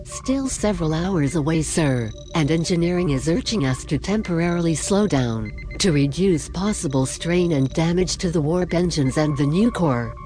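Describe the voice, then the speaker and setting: A man's voice: fairly high pitch, moderate pace, hardly any pauses, darkish tone, soft background music, close to an everyday voice. A man playing a dutiful starship helm officer in a science fiction audio drama, reporting factually and deferentially. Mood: businesslike.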